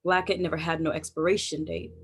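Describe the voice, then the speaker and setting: Spoken word poet, husky voice, narrow pitch range, deliberate emphatic recital. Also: fairly even intonation, a bit bright. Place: indoors, remote video call. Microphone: video call.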